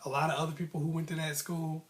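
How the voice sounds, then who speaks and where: A man with a slightly high voice tells a personal story casually, like a vlogger talking to followers. His phrasing is colloquial and slangy, and he repeats lines to drive a motivational point home. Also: level tone, quick pace.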